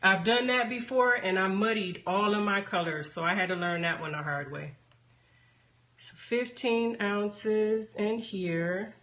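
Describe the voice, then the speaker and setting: A woman chatting casually on a live stream as she demonstrates a craft, unhurried and thinking aloud as she works. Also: mid-range voice, fairly bright tone. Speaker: soap maker hosting a live stream. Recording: background noise, live phone stream.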